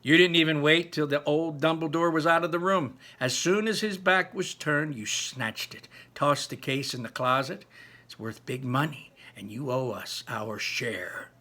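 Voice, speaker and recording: A man's voice: on the high side, unhurried, pushed and bright, voice pushed up. A man voicing a harsh, taunting teenage bully in a storybook, accusing and sneering.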